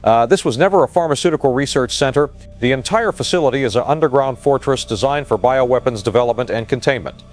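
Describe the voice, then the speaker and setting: An actor playing an urgent field reporter giving a live news report from an outbreak site in an audio drama. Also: male voice, mid-range voice, quick pace, lightly acted.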